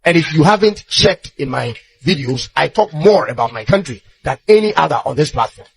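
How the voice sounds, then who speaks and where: Casting: Ghanaian man, somewhat high husky voice, blunt confrontational opinion commentary. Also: lively intonation, brisk. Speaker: social media commentator.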